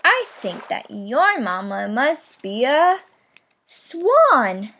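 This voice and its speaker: A woman voicing a curious, agreeable young llama from a picture book, with wondering, drawn-out phrasing. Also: sits high, wildly swooping pitch, a bit bright, exaggerated voice.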